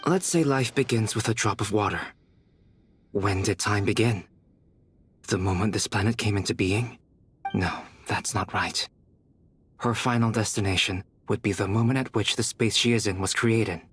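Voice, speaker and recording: A man voicing a polite, analytical companion in a game, measured and thoughtful. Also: lowish voice, fairly bright tone, a little hiss or hum, natural voice.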